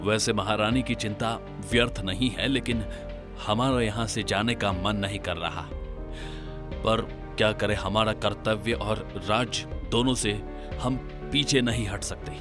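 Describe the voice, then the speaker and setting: A man's voice: on the low side, steady tone, measured pace, music in the background, close to an everyday voice. A man playing a dignified, secretive king in a cartoon story, speaking formally and with measure. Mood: reluctant.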